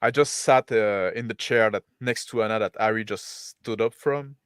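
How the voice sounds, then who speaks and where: A man's voice: on the low side, moderate pace, a bit bright, clean tone, no room sound. Male tabletop player, dry close sound. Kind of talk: casual tabletop roleplay, describing his character's actions.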